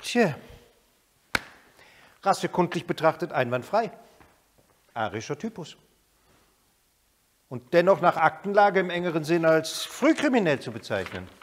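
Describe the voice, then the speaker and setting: An actor playing a cold, bureaucratic Nazi-era evaluator who reads out a clinical assessment in a detached manner for a radio drama. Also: male voice, on the high side, very animated, a little slow, pauses often. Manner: detached, bureaucratic, reading a report.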